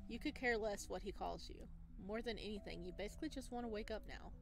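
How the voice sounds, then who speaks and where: A woman hosting a gaming video, casually commenting and reading narration aloud in an expressive, gushing manner. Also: mid-range voice, fast, gravelly, over music.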